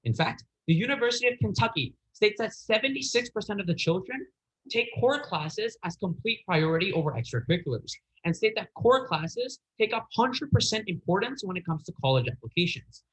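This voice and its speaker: A man's voice: high voice, lively intonation, fairly quick, fairly bright tone, low-quality recording. A teenage boy delivering an earnest, slightly hesitant persuasive speech in a contest. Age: teens. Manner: earnest, a little hesitant, occasional fillers and restarts.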